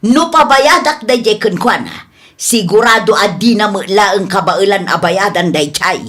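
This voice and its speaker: A woman's voice: medium pitch, animated, unhurried, a bit bright. A woman playing a stingy, scolding shop boss in a radio comedy drama, curt and bossy. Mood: defiant.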